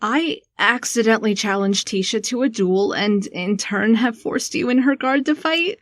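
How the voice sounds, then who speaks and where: A woman's voice: fairly high pitch, moderate pace, no echo, natural voice. A woman playing a hot-headed, foul-mouthed human queen in an audio drama, blunt and defiant. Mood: worried. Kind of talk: audio drama acting and first-person narration.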